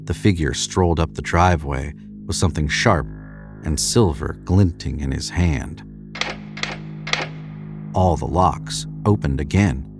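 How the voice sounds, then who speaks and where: A man's voice: very deep voice, a little slow, long pauses, over music. A man narrating scripted horror fiction in a dramatic storytelling style.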